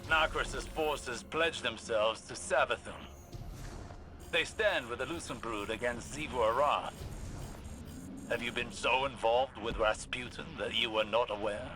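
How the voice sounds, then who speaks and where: A man playing a formal, measured military commander in a video game, speaking seriously and deliberately. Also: mid-range voice, music in the background, lightly acted. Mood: grave, reproving. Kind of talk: video game dramatic acting.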